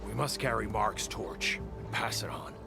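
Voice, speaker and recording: An actor playing an earnest, protective male outpost leader in a game cutscene, sincere and reassuring, with a gravelly voice. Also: on the low side, animated, moderate pace. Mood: resolute. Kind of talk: dramatic video game cutscene acting. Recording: music behind the voice.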